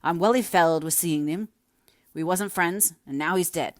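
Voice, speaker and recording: A woman's voice: lowish voice, moderate pace, no echo, clean studio sound, natural voice. Woman doing a shaky stubborn mill worker guy, piping voice, dialect talk, storybook style, no room sound. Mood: refusing, pleading. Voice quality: weak, piping. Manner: northern English dialect speech. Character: weak, stubborn working-class mill man, shaken and pleading.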